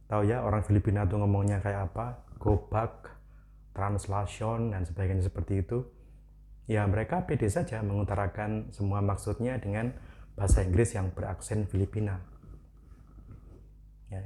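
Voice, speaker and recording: A man from Malang with a Javanese accent, giving a casual, chatty talk to camera with frequent fillers. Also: fairly low pitch. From Malang, East Java, Indonesia. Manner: relaxed, chatty, frequent "ya" fillers, light laughs. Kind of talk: casual spoken commentary.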